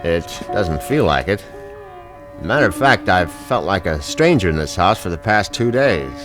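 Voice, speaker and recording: A scheming husband in a radio mystery. His voice is very low and swings widely in pitch, moving between sardonic confiding and syrupy sweetness, with music in the recording. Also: very animated, normal speed. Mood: coaxing, aggrieved. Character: scheming, sardonic husband plotting to kill his rich wife. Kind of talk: radio mystery acting with first-person narration.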